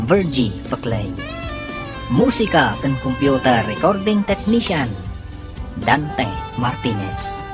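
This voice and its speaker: Low-voiced woman radio drama announcer. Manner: announcing, formal and measured. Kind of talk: scripted radio narration. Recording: music bed under the voice.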